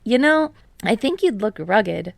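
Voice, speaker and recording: A woman voicing a friendly, teasing, slightly anxious teenage boy in an audiobook, with an expressive manner. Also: mid-range voice, measured pace, gravelly, no room sound, pitched above the performer's own voice, full character voice. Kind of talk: audiobook character voice.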